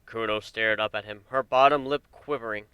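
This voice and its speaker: A man narrating a dramatic reading of fan fiction in an expressive, measured storytelling manner. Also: on the low side, clean tone, dry recording.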